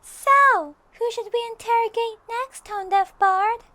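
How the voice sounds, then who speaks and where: Little fairy sidekick, super high squeaky kid voice, excitable, unhurried, dry close recording. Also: female voice, dry recording, exaggerated voice. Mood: chatty. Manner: refers to self in third person, squeaky and childlike. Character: tiny floating fairy companion, childlike, chatty and excitable. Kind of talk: fan audio roleplay acting.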